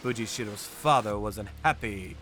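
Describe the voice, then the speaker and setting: A male actor voicing a stern, brooding avenger who narrates a manga dub in a deliberate, dramatic way. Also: lowish voice, fairly bright tone, faint music behind, lightly acted. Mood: grim, somber. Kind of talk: dramatic scripted first-person narration.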